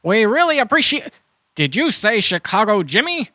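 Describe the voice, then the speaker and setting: A performer playing an eager animal adventurer in a children's audio drama, earnest and expressive. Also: medium pitch, normal speed, no room sound, clean studio sound. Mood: urgent.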